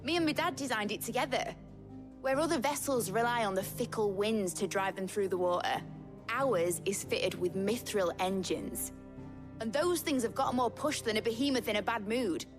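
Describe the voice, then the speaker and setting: An actress playing a brash, enthusiastic young engineer in a game cutscene, with a Northern English accent and colloquial, boastful delivery. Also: female voice, fairly high pitch, lightly acted. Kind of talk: lively game cutscene acting. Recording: music behind the voice.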